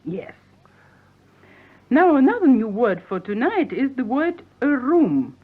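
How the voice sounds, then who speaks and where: A woman's voice: sits high, sing-song, slow, slightly rough. A woman giving a scripted 1950s radio language lesson in a precise, carefully enunciated teaching manner. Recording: tinny, phone-like 1950s radio recording.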